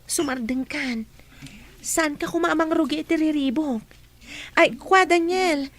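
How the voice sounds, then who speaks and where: A woman's voice: high-pitched, a little slow. A young woman acting a level-headed student friend who scolds her classmate in an audio drama. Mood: chiding. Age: young adult. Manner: chiding, calm.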